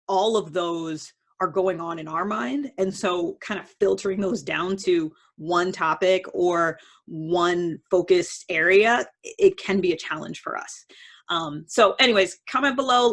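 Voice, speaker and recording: A woman vlogger explaining things casually and unscripted, in a rambling conversational way with many hedges. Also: on the low side. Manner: conversational, rambling, hedging with "like" and "sort of". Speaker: video blogger on personality typing.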